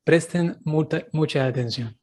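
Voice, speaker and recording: A man who is a priest hosts this interview over a video call, speaking in a fairly high voice. He greets his audience and laughs often along with his guest. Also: a little slow. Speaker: priest and channel host. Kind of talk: interview host.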